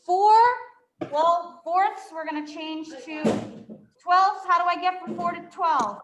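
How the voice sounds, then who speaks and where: A woman's voice: extremely high pitch, takes it slowly, fairly bright tone, roomy sound, rough recording. A woman with a New York accent teaching a fifth-grade math class, working problems aloud and quizzing her students. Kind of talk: live classroom math lesson.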